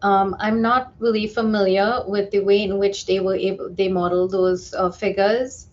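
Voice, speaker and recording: A woman speaking as an expert guest in a live news interview, explaining carefully and with measured hesitation. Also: mid-range voice, level tone, lo-fi sound.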